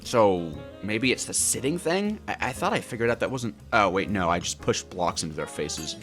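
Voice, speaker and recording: A man's voice: fairly low pitch, very animated, moderate pace, fairly bright tone. A man delivering scripted video game narration in a theatrical, wryly exasperated way. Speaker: video game player narrating his own playthrough. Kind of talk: scripted gameplay narration with dramatized reactions. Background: background music.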